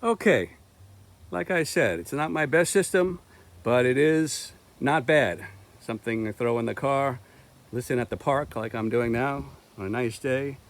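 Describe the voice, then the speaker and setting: A man's voice: mid-range voice, unhurried. Male gear reviewer, mid-range voice, casual and explanatory vlog delivery, recorded outside in a park. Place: outdoors in a park.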